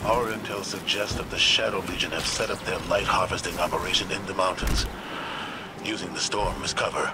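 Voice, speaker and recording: A weary veteran commander from a video game, with a deep, weighty voice. He speaks with solemn restraint while clear noise runs behind him. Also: steady tone, fairly quick. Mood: stern.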